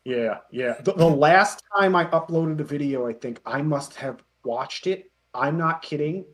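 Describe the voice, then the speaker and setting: A man chatting casually as an interview guest, telling self-deprecating anecdotes in an animated way. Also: a little high, a little slow. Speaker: interview guest, web parody creator.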